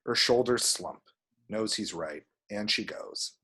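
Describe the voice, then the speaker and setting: A man's voice: lowish voice, slow, pushed and bright, breathy edge. A man reading screenplay action descriptions as scripted narration at a table read.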